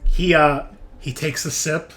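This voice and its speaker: A man running a tabletop game, narrating off the cuff in a casual, halting conversational way. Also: on the high side, fairly even intonation, a bit bright, faint music behind. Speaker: game master.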